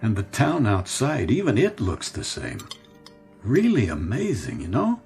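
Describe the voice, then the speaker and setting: A man's voice: lowish voice, wildly swooping pitch, measured pace, darkish tone, soft background music, exaggerated voice. A man playing a weary, nostalgic middle-aged businessman who muses wistfully in a radio drama. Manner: wistful, musing.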